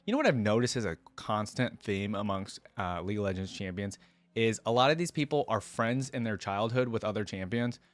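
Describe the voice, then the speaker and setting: Male reaction host, lowish voice, dry close sound, informal read-and-comment delivery. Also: brisk, dry recording. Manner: informal, interjects own thoughts while reading. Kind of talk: casual reaction commentary, reading lore aloud with asides.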